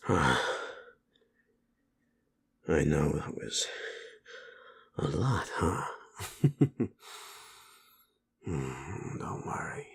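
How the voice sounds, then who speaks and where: A man acting a gruff, cocky but protective werewolf in an intimate audio roleplay, with a gravelly, breathy murmur and blunt, coarse, halting speech. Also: a bass voice, sing-song, a little hiss or hum, full character voice. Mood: gruff, tender.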